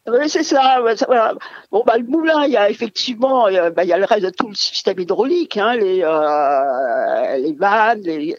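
An older woman from France, a mill owner guesting on a radio interview, speaking in a halting, rambling way full of fillers. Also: medium pitch, animated, no echo, lo-fi sound.

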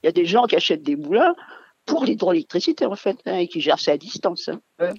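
An older woman from France, a mill owner guesting on a radio interview, speaking in a halting, rambling way full of fillers. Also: lowish voice, big pitch swings, breathy edge, no echo, lo-fi sound.

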